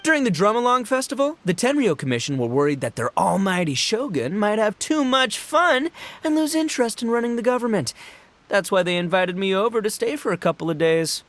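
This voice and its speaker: Brash, swooping young gang boss. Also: male voice, high voice, very animated, a little fast, fairly bright tone. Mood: boastful. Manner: cocky, boisterous, slangy bragging. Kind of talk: animated video game voice acting.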